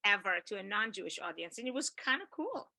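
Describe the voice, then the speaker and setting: A woman's voice: mid-range voice, sing-song, a little fast, creaky, pushed and bright. A woman, a singer, reflectively recounting a recent performance to a group on a video call. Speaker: singer and speaker on a training call. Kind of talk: sharing an experience in group chat.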